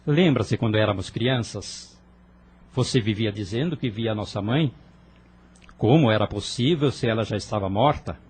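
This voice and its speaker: A man's voice: lowish voice, moderate pace, a little mellow, slight background noise, close to an everyday voice. A man playing earnest young man Vinícius. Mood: explaining. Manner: emotional, pleading, explanatory. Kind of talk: audio drama acting.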